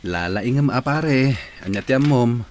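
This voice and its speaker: A man's voice: mid-range voice, animated, a little slow, darkish tone, a little hiss or hum, close to an everyday voice. A man playing a devoted, easygoing young boyfriend in an Ilocano radio drama. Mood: teasing, hopeful.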